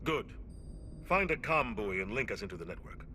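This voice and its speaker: A man's voice: lowish voice, very animated, normal speed, bright, forceful tone, soft background music. An actor playing a stern, curt and commanding male warship captain in a video game. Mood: curt, irritated. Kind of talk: video game cutscene acting.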